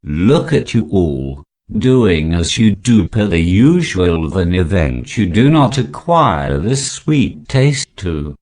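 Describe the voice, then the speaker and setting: A man's voice: low-pitched, very animated, slow pace, hardly any pauses, darkish tone, heavily acted. A man playing a stern, imperious overlord, commanding his subjects theatrically in a scripted drama. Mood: stern, threatening.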